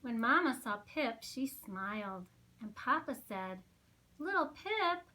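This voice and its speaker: A woman reading a picture book aloud to young children, with dramatic, drawn-out phrasing and little asides. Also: on the high side, lively intonation, pushed and bright, rough recording. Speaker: children's storytime reader.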